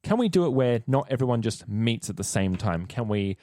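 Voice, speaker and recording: A man's voice: medium pitch, very animated, brisk, warm tone, dry recording, studio quality. An Australian man chatting casually on a tabletop gaming podcast, explaining things earnestly as one of the players. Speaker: actor and player on a tabletop role-playing podcast. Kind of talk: casual chat.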